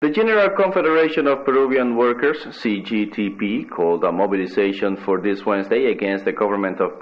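A man with a fairly deep voice reads the news from a script. The sound is dull and lo-fi, as heard over shortwave radio. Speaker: radio newsreader.

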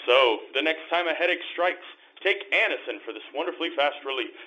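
A man's voice: on the high side, expressive, a little fast. A man reading a commercial in an emphatic, salesmanlike 1950s radio style. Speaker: commercial announcer. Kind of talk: 1950s radio advertisement read. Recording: slightly dull, muffled audio.

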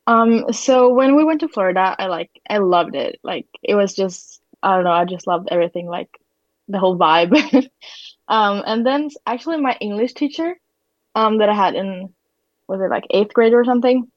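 A woman's voice: mid-range voice, animated, normal speed, no room sound, lo-fi sound. A teenage woman from Sweden with a Swedish accent, chatting casually and hesitantly as a podcast guest. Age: teens. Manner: hesitant, frequent "like". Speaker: podcast guest, exchange student. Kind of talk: casual chat.

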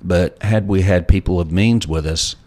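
A man's voice: low-pitched, normal speed, darkish tone. A middle-aged man as an interview guest, telling folksy stories and handing out life advice. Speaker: guest entrepreneur. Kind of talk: casual storytelling interview.

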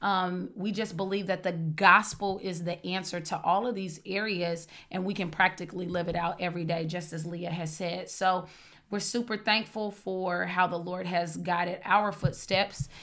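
A woman's voice: on the low side, normal speed. Upbeat woman from Oklahoma hosting. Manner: upbeat, expressive, says "honey". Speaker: podcast host. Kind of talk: casual chat.

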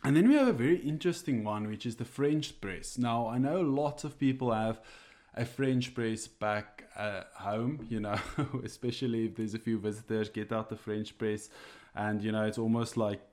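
A man whose first language is Afrikaans, speaking English with an Afrikaans accent, explains coffee on a podcast from his office over a remote call, halting and restarting with small chuckles. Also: lowish voice, a little mellow. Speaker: coffee roaster co-host.

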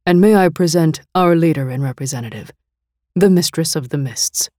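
Woman doing a formal servant guy announcing guests, brisk and loud-ish. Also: low-pitched, dry recording, clean studio sound, natural voice. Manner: ceremonious, quick announcing. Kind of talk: audiobook character voice.

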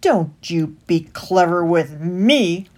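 A woman voicing a sly, sneering male rat who trades at a market, drawling loudly and slowly. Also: lowish voice, expressive. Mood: sly sales pitch. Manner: drawling slow and loud.

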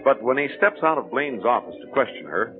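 A male announcer recaps the story in measured, dramatic scripted narration, his mid-pitched voice rising and falling widely. Clear music plays under a tinny old radio sound. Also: sing-song.

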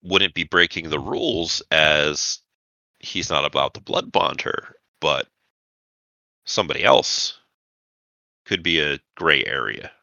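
A man narrating a tabletop roleplaying game as game master, in measured, deliberate phrases with pauses. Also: extremely low pitch, expressive, vocal fry, sharp tone, no echo, muffled recording.

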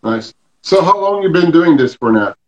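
A man hosting a casual interview chat, speaking haltingly with many fillers and restarts. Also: on the high side, expressive, darkish tone, roomy sound, muffled recording. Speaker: podcast host.

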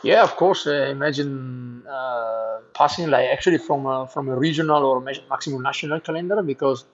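A man in his thirties from Italy with an Italian accent tinged with Flemish, answering interview questions in halting English and often restarting words. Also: mid-range voice, muffled recording. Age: 30s. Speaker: professional cyclist, guest.

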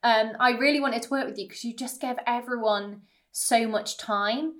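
A woman's voice: a little high, normal speed. A woman hosting a podcast interview in a casual, effusive and encouraging way. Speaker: podcast host, performer.

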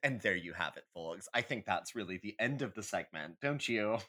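Man with wildly animated, swooping delivery. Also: on the low side, normal speed. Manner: catty, theatrical, emphatic, sweary. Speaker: podcast co-host. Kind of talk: casual comedic chat.

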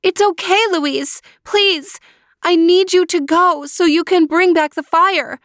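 A trapped young girl pleads in a high, urgent voice, as a woman puts her on for a storybook. Her words press forward with worry. The sound is close and dry. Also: slow pace, fairly bright tone, no room sound, full character voice. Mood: pleading.

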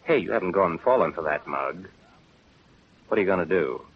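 A brash newspaper boss in an old radio drama. He is excitable and slangy. The broadcast sounds tinny, with light noise behind it. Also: low-pitched, brisk. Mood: coaxing. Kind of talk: 1930s radio acting.

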